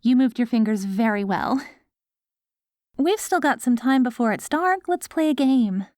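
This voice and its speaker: Casting: woman to play doting postapocalyptic wife for ASMR roleplay, higher-pitched voice, sweet and patient coaxing, slow delivery. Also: lively intonation, darkish tone, no room sound, studio quality. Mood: patient, encouraging. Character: doting wife caring for her ghoul husband after apocalypse. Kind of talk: ASMR roleplay audio drama.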